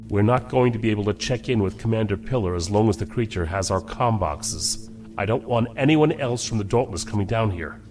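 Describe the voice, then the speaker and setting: Starship captain, male actor: deep, authoritative, firm yet varied delivery, sci-fi audio drama, faint music underneath. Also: animated, fairly quick, lightly acted. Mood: grave, controlled.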